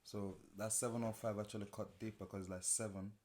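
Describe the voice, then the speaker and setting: A man, telling stories in a relaxed way with slang as an interview guest. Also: on the low side, fast talker, crowd noise. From Nigeria. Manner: relaxed storytelling with slang, laughs often. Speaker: music producer and interview guest. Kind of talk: casual interview chat.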